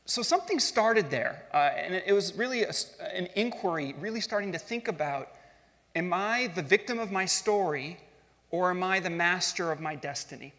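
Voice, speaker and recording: A middle-aged man telling his story from a stage in a relaxed, self-deprecating tone, now and then putting questions to the audience. His voice sits fairly high. Long reverb from a large hall trails each phrase. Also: moderate pace. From grew up in Switzerland and California. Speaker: speaker giving a talk.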